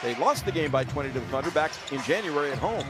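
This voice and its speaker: High-pitched man calling basketball play-by-play. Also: expressive, over music. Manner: clipped, brisk phrases that rise on big plays. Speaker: radio play-by-play basketball announcer. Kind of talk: live sports play-by-play commentary.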